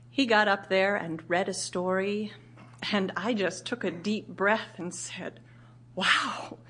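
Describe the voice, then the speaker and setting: An actress playing a reflective, admiring wife in a deliberate dramatic monologue for audio theatre. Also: female voice, mid-range voice, a bit bright, husky. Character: reflective, admiring wife recalling her writer husband.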